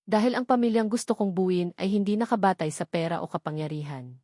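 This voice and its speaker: A woman's voice: mid-range voice, fairly quick, warm tone, dry recording, clean studio sound, close to an everyday voice. Woman voicing a resolute, earnest young man. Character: resolute young man defying his family, devoted, earnest. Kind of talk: Tagalog romance audio drama.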